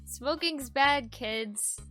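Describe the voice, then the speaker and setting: A woman's voice: sits high, drawn-out words, music in the background. A woman reading fan fiction aloud in a casual, amused way, breaking off to comment. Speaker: voice actor, co-reader.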